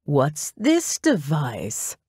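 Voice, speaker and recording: Audiobook role: woman voices flamboyant, teasing man, slow lilting drawl, theatrical, close dry recording. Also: fairly high pitch, huge pitch swings, darkish tone, husky, no room sound, full character voice. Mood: amused, teasing.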